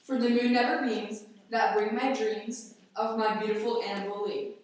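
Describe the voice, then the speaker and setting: A woman's voice: mid-range voice, little pitch movement, normal speed, darkish tone, close to an everyday voice. A woman playing a gloomy, earnest, pedantic young boy poet in a radio play. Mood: mournful, reciting. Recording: echoey room, distant mic, faint noise.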